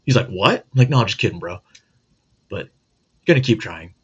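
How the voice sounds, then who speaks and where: A man's voice: fairly low pitch, expressive, moderate pace, fairly bright tone, husky, lo-fi sound. A middle-aged man hosting a casual monologue, blunt, profane and joking. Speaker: host.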